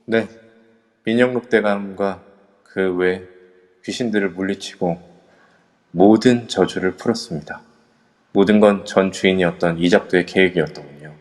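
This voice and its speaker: A man playing a male kendo instructor who leads a ghost-hunting group, calm and in charge. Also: deep voice, moderate pace, pauses often, big-room sound, natural voice. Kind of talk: amateur audio drama acting.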